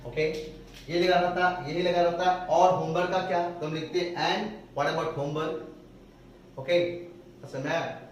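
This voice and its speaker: Echoey Hindi-accented English teacher. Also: male voice, on the high side, fairly even intonation, muffled recording, slight background noise. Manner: explains slowly, repeats phrases word by word. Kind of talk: classroom grammar lesson. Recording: echoey room, voice from far off.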